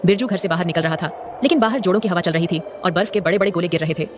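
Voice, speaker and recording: Fast-paced storybook narrator, mid pitch, light music behind. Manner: brisk, plain storytelling.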